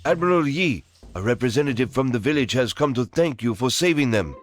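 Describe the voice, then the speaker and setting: An actor playing a deferential military aide in a video game, reporting respectfully and formally. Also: male voice, medium pitch, expressive, fairly quick, faint music behind, lightly acted.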